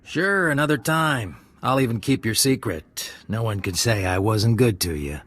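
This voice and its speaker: A man's voice: fairly low pitch, lively intonation, normal speed, fairly bright tone. A man playing a sleazy, wheedling smuggler in a video game, falsely chummy and oily in manner. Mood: oily, flirtatious.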